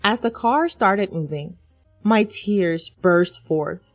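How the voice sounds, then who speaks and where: A woman's voice: medium pitch, slow, no room sound, soft background music. A woman reading a scripted first-person dramatic story as its narrator.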